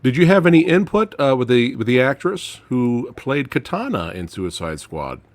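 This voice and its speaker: A man hosting a podcast interview who asks eager, quick questions and gives short reactions. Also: medium pitch, big pitch swings, no room sound. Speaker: podcast host.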